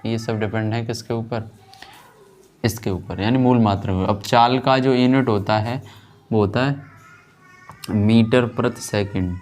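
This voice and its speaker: A man's voice: lowish voice, fairly even intonation, measured pace, long pauses, a little mellow. A man teaching a physics class, asking and answering his own questions and repeating key terms. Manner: frequent check-in tag after points. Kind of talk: classroom lesson, explaining while writing on a board.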